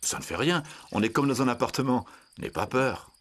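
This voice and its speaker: A man's voice: medium pitch, animated, moderate pace, pitched above the performer's own voice, natural voice. A man voicing shy, caring little schoolboys in a children's cartoon story, speaking in simple childlike phrases. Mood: reassuring. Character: two shy, caring little schoolboys, playmates from different homes.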